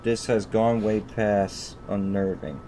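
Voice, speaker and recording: A man hosting a gaming video, reading visual novel narration aloud haltingly and repeating phrases. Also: lowish voice, flat delivery, noisy background.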